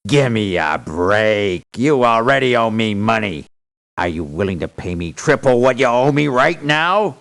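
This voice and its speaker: Cynical merc in a game, deep and gravelly, blunt, irritable, takes his time. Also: sing-song, a little slow, fairly bright tone, no room sound, exaggerated voice. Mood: indignant. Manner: blunt, dismissive. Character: tough, irritable male mercenary, money-minded and cynical. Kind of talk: video game character lines.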